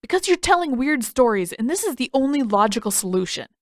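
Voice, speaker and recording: A woman's voice: sits high, sing-song, brisk, dry recording, studio quality, voice pushed up. A woman voicing a dramatic teenage brother in an audiobook story, grumbling and indignant with emphatic delivery. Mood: flustered, screechy.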